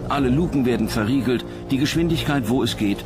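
A man's voice: medium pitch, fast talker. Male documentary narrator over music.

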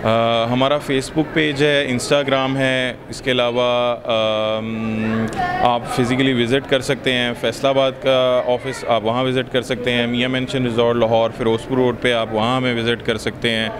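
A man in his thirties with a Pakistani accent, giving promotional interview answers with hesitant pauses. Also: medium pitch, flat delivery, a crowd in the background. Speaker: business representative, interviewee. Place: large indoor expo hall. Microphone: handheld reporter microphone. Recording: noisy exhibition hall ambience.

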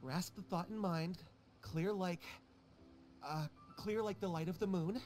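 An actor voicing an anxious, stubborn young writer in a video game, flustered and pleading. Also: male voice, sits high, a little slow, a little mellow, soft background music. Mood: fumbling.

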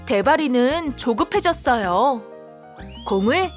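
A high-voiced woman reads a children's story with lots of expression. Her pitch rises and falls in a lively way, and she takes her time over each phrase. The telling is animated and clearly scripted for little listeners. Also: slow pace. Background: children's background music.

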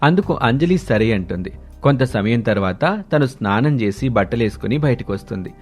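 A man's voice: medium pitch, expressive, moderate pace. A man narrating a scripted story as its narrator. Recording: music bed under the voice.

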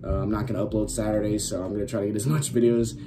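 A young man with a raspy, worn-out voice, chatting casually as host of a reaction video, filling his talk with "like" and laughing often. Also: fairly low pitch, steady tone, very fast, background noise. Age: young adult. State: raspy, losing his voice from shouting. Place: small bedroom with LED lights.

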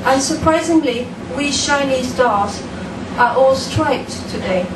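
A woman raised in France reads her poem slowly and deliberately in a dim room. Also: high-pitched. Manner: slow, deliberate, measured reading. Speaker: poet. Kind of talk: poetry reading. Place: dim room with a lamp, indoors. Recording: echoey room, distant mic, background noise.

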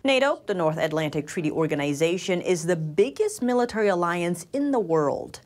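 A young woman reads the news in a television studio, speaking in a crisp, measured anchor's style. Her voice sits on the low side yet moves through a wide, animated range. She is picked up cleanly on a lapel mic. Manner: crisp, measured newsreader delivery. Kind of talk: scripted news reading.

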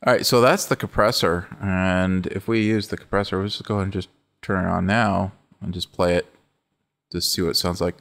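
A middle-aged man with a low voice hosting a casual music-production walkthrough, relaxed and rambling, often correcting himself mid-sentence. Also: a little fast, creaky. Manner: relaxed, rambling, self-correcting, laughs easily. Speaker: music production tutorial host. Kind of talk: casual screen-recorded walkthrough.

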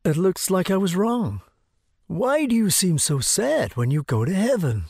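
A man's voice: high voice, big pitch swings, measured pace, vocal fry, a little mellow, husky, no echo, studio quality, full character voice. A man voicing a poor peasant farmer in a cartoon, who complains plaintively and asks questions. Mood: aggrieved. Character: grumbling poor old male peasant farmer.

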